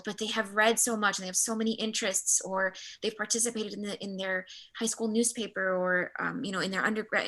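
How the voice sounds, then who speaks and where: A woman's voice: medium pitch, a bit bright. A middle-aged woman whose first language is Spanish gives a chatty workshop talk as art history faculty, with frequent restarts. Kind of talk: workshop presentation.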